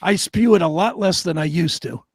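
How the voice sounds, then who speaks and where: A man with a raspy voice co-hosting an unscripted talk show, arguing in a crude, defiant, profane way. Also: high-pitched, normal speed, no echo.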